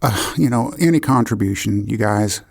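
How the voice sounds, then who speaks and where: A man's voice: lowish voice, expressive, moderate pace, gravelly, darkish tone. A man hosting a podcast in a casual, conversational style. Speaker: podcast host.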